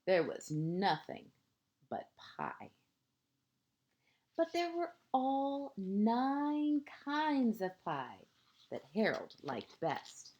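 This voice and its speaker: A woman reading a children's picture book aloud like a kindergarten teacher, expressive and deliberate, with dramatic pauses and emphasis for young listeners. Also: medium pitch, dull audio. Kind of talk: children's storybook read-aloud.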